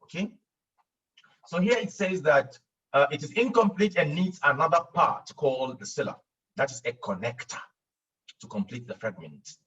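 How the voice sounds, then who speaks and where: A man's voice: on the high side, animated, normal speed, long pauses, a bit bright, far from the mic, dull audio. A man teaching Arabic grammar in an online class, explaining patiently with fillers and repeats, sometimes acting out students' questions. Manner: explains patiently with frequent repeats and fillers, laughs at own jokes; acts out students' questions in a raised voice.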